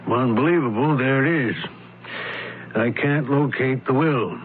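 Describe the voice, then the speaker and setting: Man acting weary attorney, medium pitch, dry, emphatic, confiding, radio mystery, faint hiss. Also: a little slow, close to an everyday voice. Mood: fretful, confiding. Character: male estate lawyer, weary, fretful, confiding. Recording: noisy, tinny old radio broadcast.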